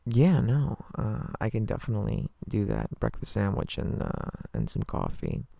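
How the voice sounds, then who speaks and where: A man playing a sleepy, affectionate partner the morning after a hookup in an intimate ASMR roleplay, murmuring hesitantly and tenderly in a breathy, gravelly voice close to the mic. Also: fairly low pitch, huge pitch swings, normal speed, warm tone, no echo, full character voice. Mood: sleepy, warm.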